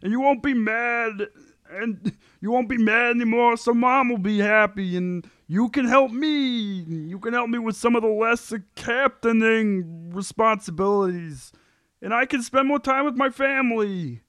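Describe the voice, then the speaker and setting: A very high-voiced, whiny grown son from a sitcom acted out by a man. He takes his time, pleading and making defensive excuses with emphasis, in a dry recording. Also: a little slow, fairly bright tone, dry recording. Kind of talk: sitcom table read.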